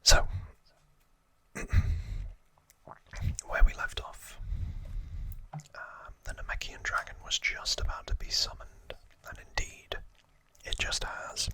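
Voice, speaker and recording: A man hosts an ASMR trivia session entirely in a hushed whisper. His delivery is very slow and informal, as if explaining things off the cuff. The whisper has a bright, sharp edge. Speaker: ASMR host.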